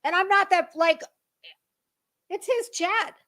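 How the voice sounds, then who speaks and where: A woman with a high-pitched voice delivers a casual, dramatic monologue in the manner of an online commentator. Her phrasing is halting and emphatic, with deliberate pauses and words repeated as she goes. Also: a bit bright.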